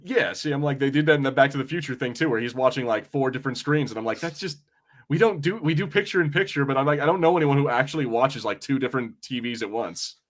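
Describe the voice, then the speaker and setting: A man's voice: mid-range voice, fast. Casually rambling man, wry and profane. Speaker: podcast co-host. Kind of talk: casual watch-party banter and commentary.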